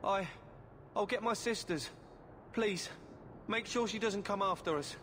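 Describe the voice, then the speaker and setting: A fifteen-year-old working lad in a fantasy game. His voice is high, his speech rustic and earnest, with a scared edge. Clear background noise surrounds him. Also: measured pace. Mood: earnest, sorrowful. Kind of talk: video game cutscene acting.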